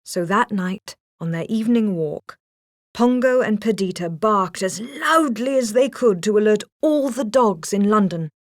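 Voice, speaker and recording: A woman's voice: mid-range voice, expressive, a little slow, no room sound, clean studio sound. A woman reading a scripted children's story as a storybook narrator.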